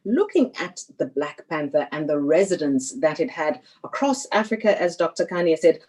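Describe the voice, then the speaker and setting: Female moderator, husky voice, mid-low register, formal, measured, courteous questioning. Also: expressive, a little mellow. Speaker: panel moderator. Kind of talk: moderating a panel discussion.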